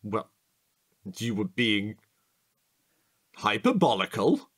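A man's voice: on the low side, sing-song, slow pace, a bit bright, husky, exaggerated voice. An actor playing a playful, easily bored, childishly eager man in an audio drama, indignant and teasing.

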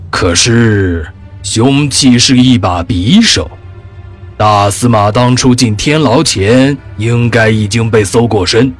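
An elderly court chancellor in a period audio drama, mid-pitched and slow. He sounds pensive and skeptical, weighing each phrase over faint music. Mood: doubtful. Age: older. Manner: deliberate, questioning.

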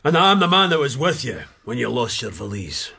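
A commanding Scottish stranger in a radio drama. His accent is Scottish, his voice forceful and a bit husky. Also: medium pitch, very animated, moderate pace, full character voice. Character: forceful, self-assured male Scottish stranger.

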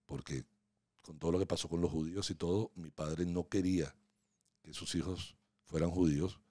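A man's voice: low voice, fairly even intonation, normal speed, mellow, husky, no room sound. A man, a podcast guest chatting casually, playful and storytelling. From Venezuela. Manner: playful, asks teasing questions, storytelling. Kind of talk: casual interview chat. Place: small home podcast studio. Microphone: studio mic on boom arm, headphones.

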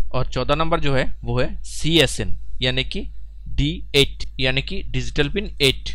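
A man's voice: mid-range voice, a bit bright. A man, giving instructional tutorial narration as host of an electronics channel. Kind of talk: instructional tutorial narration mixed with English terms.

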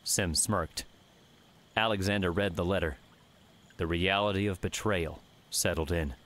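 Deep-voiced male audiobook narrator. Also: steady tone, fairly bright tone. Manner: measured storytelling. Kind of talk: scripted fiction narration.

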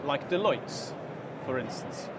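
A man's voice: medium pitch, lively intonation, slow. A man in his forties, a company director, explaining his service in an interview on a busy exhibition floor, with frequent fillers and restarts. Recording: loud hall noise behind. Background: crowd chatter and hall noise.

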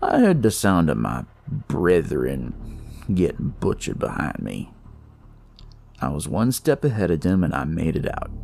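A man's voice: lowish voice, vocal fry, mellow, slightly rough. A man telling a first-person detective story in a colloquial, wry manner with hesitant asides.

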